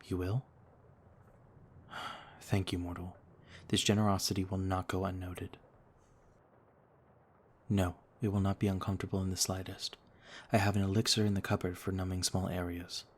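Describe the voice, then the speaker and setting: Husky, very low-voiced noble vampire played by a man. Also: little pitch movement, moderate pace, long pauses. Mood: soothing, courteous. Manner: courtly, formal, soothing, reassuring. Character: gentle noble male vampire, over a thousand years old, looks thirty-two. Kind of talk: ASMR roleplay.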